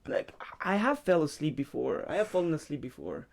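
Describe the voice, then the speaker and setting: A young man, a university lecturer, chatting casually on a podcast and telling animated stories about his students. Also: fairly high pitch, brisk, vocal fry. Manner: animated storytelling, acts out his students and himself; frequent fillers and restarts. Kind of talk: casual chat.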